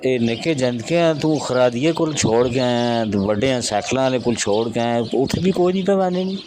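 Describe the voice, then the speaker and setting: A man's voice: medium pitch, expressive, fairly quick, a little mellow, slight background noise, close to an everyday voice. A man playing an easygoing, practical villager in an amateur rural audio drama, talking matter-of-factly.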